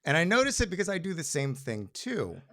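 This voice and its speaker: Animated male storyteller on stage. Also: on the high side. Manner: conversational, halting, self-deprecating humour. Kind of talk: live personal storytelling on stage. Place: live storytelling venue.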